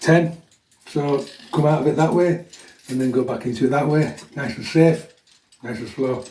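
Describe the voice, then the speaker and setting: A man in middle age speaks with a dark, relaxed tone that is a little chesty. He chats plainly to his fitness audience, often checking in with a "yeah?". He sounds some distance from the microphone in a reverberant room. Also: medium pitch, normal speed. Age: middle-aged. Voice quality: slightly chesty. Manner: chatty, blunt, frequent "yeah?" tags. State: getting over a chest infection. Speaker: fitness vlogger. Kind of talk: casual chat to viewers introducing a workout. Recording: echoey room, mic at a distance.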